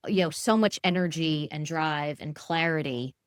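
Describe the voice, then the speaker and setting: Woman host, low voice, encouraging and reflective, dry close mic. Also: moderate pace, clear, resonant tone, dry recording. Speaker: podcast host. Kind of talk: casual interview chat.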